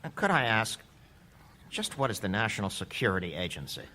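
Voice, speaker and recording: A man's voice: lowish voice, sing-song, sharp tone. An actor playing a firm, patient male judge who runs a courtroom hearing with authority. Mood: stern. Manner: authoritative, deliberate, emphatic. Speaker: judge presiding over a hearing.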